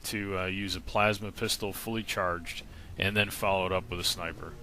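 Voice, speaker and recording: A man's voice: low voice, fairly even intonation, moderate pace, pushed and bright. A man giving casual, plain, instructional video game walkthrough commentary in an informal way. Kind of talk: casual explanatory gameplay commentary. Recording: voice-over with clear game noise behind.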